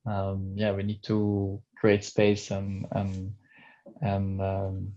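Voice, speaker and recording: A man's voice: low-pitched, completely flat delivery, extremely slow, mellow, dull audio. A Dutch man working as a coach, speaking English in a hesitant interview with many fillers and restarts. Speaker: touch and intimacy coach. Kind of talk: video call interview.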